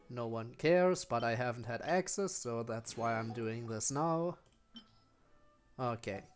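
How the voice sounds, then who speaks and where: A man giving casual livestream commentary as a game streamer, explaining things in a hesitant, rambling way. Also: mid-range voice. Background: faint music.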